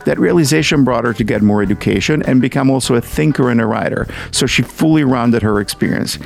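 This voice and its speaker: A man hosting a podcast, reading his introduction in a measured, deliberate way. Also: mid-range voice, vocal fry, soft background music. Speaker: podcast host. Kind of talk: scripted podcast intro and interview.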